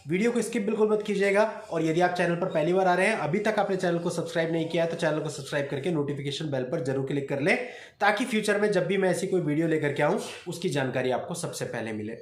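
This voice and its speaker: A man's voice: on the high side, fast. A man giving an explanatory legal talk in a didactic way, often addressing his listeners directly as an advocate presenting to his audience. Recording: echoey, roomy sound.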